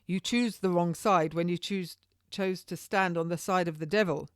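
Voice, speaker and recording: A woman voicing a menacing, mocking gentleman official in a historical novel, speaking in formal, accusatory, archaic phrases. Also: fairly low pitch, moderate pace, clean tone, no room sound, clean studio sound, lightly acted. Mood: scornful.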